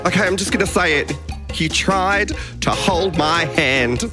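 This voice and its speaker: Sassy, theatrical Australian female friend, acted by a man, lively pitch swings, loud music underneath. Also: a little slow. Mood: indignant, dramatic. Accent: put-on Australian. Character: sassy, dramatic woman confiding in a friend, Australian accent. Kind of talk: hammy amateur scene reading.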